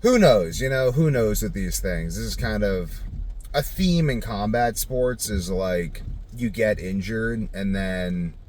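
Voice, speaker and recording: A man's voice: on the low side, lively intonation, slow pace, a little mellow, slight background noise. A man talking casually off the cuff for a video diary, thinking aloud in a rambling way. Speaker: vlogger.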